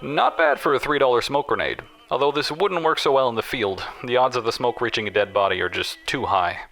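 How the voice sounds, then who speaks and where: A man's voice: medium pitch, animated, a little fast, vocal fry, fairly bright tone, soft background music. A man voicing an exasperated, sardonic research scientist reading out his notes in a dramatised story. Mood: dry, resigned. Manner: dry, grumbling, sardonic.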